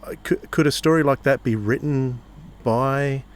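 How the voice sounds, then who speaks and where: A man's voice: medium pitch, measured pace, slight background noise. A man co-hosting a podcast, chatting casually as he puts questions to a guest. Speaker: podcast co-host. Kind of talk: casual interview chat.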